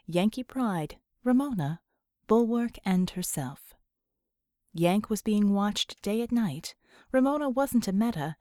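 A woman's voice: medium pitch, expressive, takes it slowly, mellow, no echo. Dry, close-sounding woman narrating fiction. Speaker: audiobook narrator.

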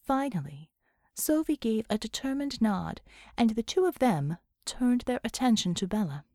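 Higher-pitched woman narrating fiction. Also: very animated, normal speed, dark tone, dry recording, studio quality. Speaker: audiobook narrator.

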